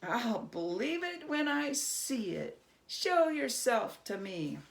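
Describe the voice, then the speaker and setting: Woman playing a wily, high-voiced storybook trickster man. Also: big pitch swings, slow, vocal fry, exaggerated voice. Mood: scoffing. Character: wily man feigning fright, cheeky and defiant.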